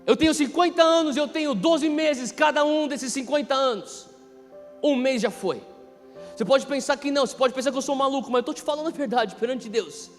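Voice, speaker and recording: Male pastor, thirties, Brazilian accent, very high-pitched impassioned delivery with heavy emphasis, long hall reverb. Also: fairly quick. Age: 30s. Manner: emphatic, repeating key phrases, swinging from hushed to loud. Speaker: pastor preaching. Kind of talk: impassioned sermon. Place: large reverberant church hall. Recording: reverberant hall PA, music underneath. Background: music.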